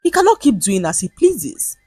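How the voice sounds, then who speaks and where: A woman storyteller voicing a male school principal who speaks gently and gives concerned warnings. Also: mid-range voice, very animated, normal speed, slightly rough, dry recording, soft background music. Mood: admonishing. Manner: gentle, admonishing. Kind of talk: dramatised quotes in narrated folktale storytelling.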